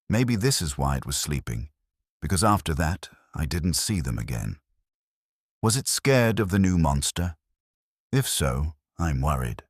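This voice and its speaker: An extremely low-voiced man narrates a diary-style story. His delivery rises and falls in a lively way, with emphasis on key words. It is scripted first-person storytelling from an amateur reader. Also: no echo, clean studio sound. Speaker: amateur fan fiction narrator.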